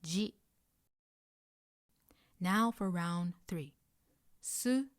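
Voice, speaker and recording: Slow-paced woman tutor, dry sound. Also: lowish voice, no echo. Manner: clear instructional delivery, carefully enunciating example sounds. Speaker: Japanese language tutor. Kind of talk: scripted lesson narration, guided pronunciation practice.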